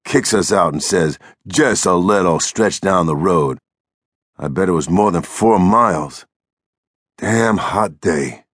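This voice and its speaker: Audiobook role: man performing small, sharp-tempered rural American drifter, scolding, husky, swinging pitch. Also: expressive, moderate pace, dry recording, studio quality, exaggerated voice. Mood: angry, griping. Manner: gruff, scolding, folksy rural American speech.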